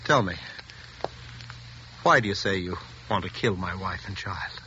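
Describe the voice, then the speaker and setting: A grim, desperate vintage radio husband. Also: male voice, deep voice, unhurried, pushed and bright, slight background noise. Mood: tense, determined. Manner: intense, grim, melodramatic. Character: desperate, obsessed husband and father resolved to kill. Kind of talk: 1940s radio suspense acting and narration. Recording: dull 1940s radio recording.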